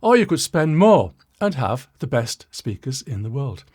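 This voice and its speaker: A British man in his sixties telling a story to viewers as a presenter, in a wry, self-deprecating way with dramatic pauses and asides. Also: mid-range voice, sing-song, a little mellow, slightly rough. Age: 60s. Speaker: presenter of an audio channel.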